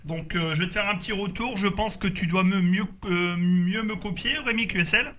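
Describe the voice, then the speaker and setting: A man's voice: high voice, steady tone, moderate pace, a bit bright. A man chatting casually as a radio operator during a group contact, speaking hesitantly and repeating his confirmations.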